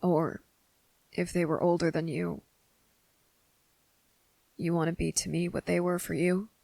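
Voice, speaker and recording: A woman playing a wary, blunt but softening young boy in an ASMR roleplay, speaking deliberately with hesitant pauses. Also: on the low side, flat delivery, vocal fry, dry recording. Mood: softening, gentle. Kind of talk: ASMR roleplay audio drama.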